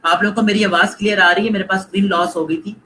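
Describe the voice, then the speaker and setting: Man, Pakistani Urdu accent, online course instructor, high bright voice, slight background hiss. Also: steady tone, fast talker, low-quality recording. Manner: repetitive, coaching, mixes in English phrases. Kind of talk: live online lecture.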